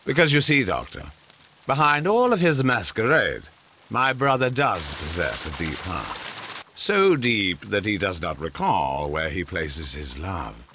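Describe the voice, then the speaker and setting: Imperious older-brother statesman, British, low but forceful, pompous and insinuating game villain-like gravitas, rain noise behind. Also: big pitch swings, measured pace, heavily acted. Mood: ominous, grave. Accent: British English. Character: imperious, calculating older brother, Victorian government gentleman. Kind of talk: video game cutscene acting.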